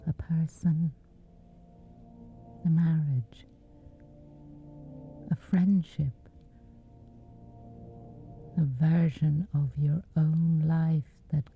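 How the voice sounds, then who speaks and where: Soft whispery lady, really slow, low voice, thin tinny sound w faint music under it. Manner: slow gentle soothing delivery. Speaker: meditation guide. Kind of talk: guided sleep meditation.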